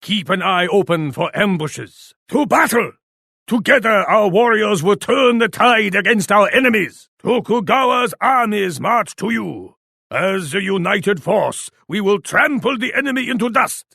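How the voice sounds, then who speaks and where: An actor playing a proud, imperious Japanese warlord in a video game, speaking formally. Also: male voice, high voice, animated, unhurried, gravelly, bright, forceful tone, dry recording, clean studio sound, exaggerated voice. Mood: commanding, rousing. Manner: imperious, formal, speaks of himself in third person. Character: proud, haughty Japanese warlord commanding his armies. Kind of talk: video game character voice lines.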